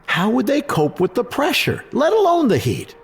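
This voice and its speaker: A man's voice: very high-pitched, wildly swooping pitch, normal speed, heavily acted. A man playing an incredulous, sarcastic government official who scoffs emphatically in a sci-fi audio drama. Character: exasperated, sceptical male government official, quick to scoff.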